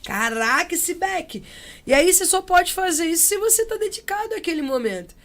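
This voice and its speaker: A young Brazilian woman speaking casually and reflectively as an interview guest, expressive and slangy, slipping into imagined quotes. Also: very high-pitched, a little fast. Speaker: interview guest, percussionist and samba singer.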